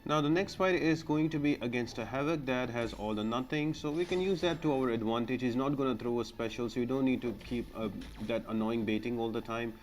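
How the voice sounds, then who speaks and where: A man's voice: medium pitch, brisk, hardly any pauses, slight background noise. A man narrating a video game walkthrough as a guide, explaining tactics step by step.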